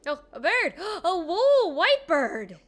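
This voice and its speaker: A woman's voice: very high-pitched, big pitch swings, slow, a bit bright. A woman game streamer giving short, casual live reactions over a faint background noise.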